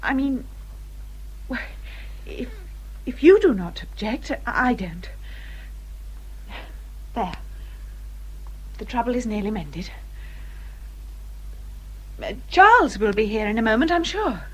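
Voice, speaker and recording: Soft, breathy young English gentlewoman. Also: fairly high pitch, sing-song, slow, pauses often, heavily acted, rough recording. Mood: shy, hesitant. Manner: polite, hesitant, restrained. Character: gentle, self-effacing young gentlewoman, quietly firm. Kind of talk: British period radio drama acting.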